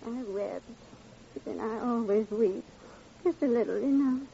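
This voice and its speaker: An actress playing a dainty, chatty, slightly dotty widow with affected manners in an old radio drama. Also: female voice, a little high, moderate pace, gravelly, mellow. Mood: dreamy, chatty. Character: genteel, dotty widow who enjoys attending strangers' funerals. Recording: muffled, noisy old radio recording.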